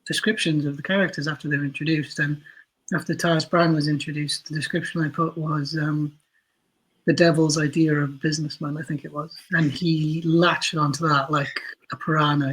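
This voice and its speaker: A man's voice: on the high side, little pitch movement, normal speed, a bit bright, poor audio. A man chatting casually as an interview guest, explaining things in a thoughtful, self-deprecating way. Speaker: guest, audio drama writer and producer.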